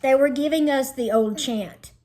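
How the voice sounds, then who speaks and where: A woman narrating a children's storybook in an expressive, dramatizing read-aloud style. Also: high-pitched, normal speed.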